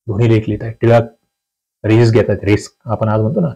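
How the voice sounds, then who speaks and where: A man's voice: lowish voice, level tone, quick pace, mellow. A man with a Maharashtrian accent teaching an exam question walkthrough, instructing students directly and repeating key phrases.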